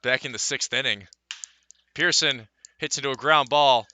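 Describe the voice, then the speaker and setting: A man calling live baseball play-by-play for radio, mixing pitch-by-pitch description with conversational asides. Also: moderate pace, sharp tone, dry recording. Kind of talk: live sports commentary.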